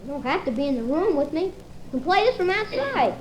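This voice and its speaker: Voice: high-pitched, big pitch swings, fairly quick, low-quality recording. An actor voicing a bored, bedridden young boy in an old-time radio family comedy, plaintive, slangy and given to exaggeration. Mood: eager, scheming. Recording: old radio recording with hiss.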